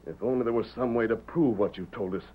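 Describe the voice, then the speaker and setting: An actor playing a brave, righteous cowboy hero with earnest, commanding, proper diction in a 1930s radio western. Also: male voice, fairly low pitch, quick pace, husky. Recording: muffled old 1930s radio recording.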